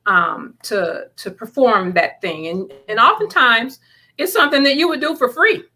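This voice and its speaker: A woman's voice: fairly high pitch, lively intonation, normal speed, bright, forceful tone, poor audio. A woman speaking as a guest author, answering in a conversational, sincere and reflective way about matters of faith.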